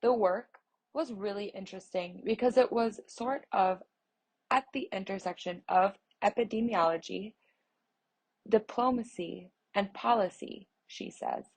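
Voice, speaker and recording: A woman voicing a news feature aloud, reading in a steady, measured way with the odd small restart. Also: medium pitch, gravelly, slightly rough, poor audio. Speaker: voice interpreter reading an article.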